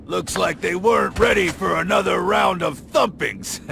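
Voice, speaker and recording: Gravelly, high-pitched brash brawler. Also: male voice, expressive, normal speed, slight background noise, exaggerated voice. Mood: scornful, fired up. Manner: loud, scornful, blustering. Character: brash, hot-tempered brawler, male. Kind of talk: fantasy game cutscene acting.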